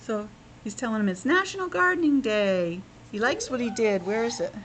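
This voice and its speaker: Animated woman reading a storybook aloud. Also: on the high side, moderate pace, slight background noise. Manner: expressive, explanatory, encouraging. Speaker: schoolteacher reading a picture book aloud to children. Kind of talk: read-aloud storytelling with simple asides explaining the pictures.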